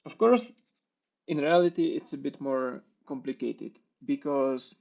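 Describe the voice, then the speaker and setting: A man who walks through a coding demo in a steady, patient way. His voice carries a gravelly fry. The recording sounds dull and lo-fi. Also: mid-range voice, measured pace. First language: Czech. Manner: explanatory, steady walkthrough with small restarts. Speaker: conference speaker. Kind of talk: live-coding tech talk.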